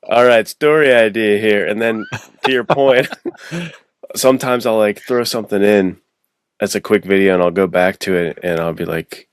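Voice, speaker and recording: Man, podcast host, low pitch, dry close sound, buddy-like slang, casual chat. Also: moderate pace, smooth, dry recording.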